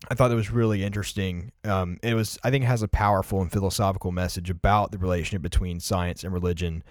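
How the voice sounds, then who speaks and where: A man's voice: low-pitched, steady tone, fast, no room sound, studio quality. A man in his early twenties talking casually and reflectively on a podcast, explaining his thoughts with plenty of "like" and "you know". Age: early 20s. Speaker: podcast co-host discussing favorite films.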